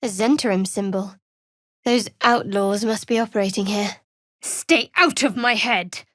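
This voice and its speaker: A woman's voice: mid-range voice, measured pace, fairly bright tone, dry recording, lightly acted. A woman playing a guarded, wry young woman cleric in a video game, with dry, sardonic, clipped delivery. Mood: watchful, then sharp, angry.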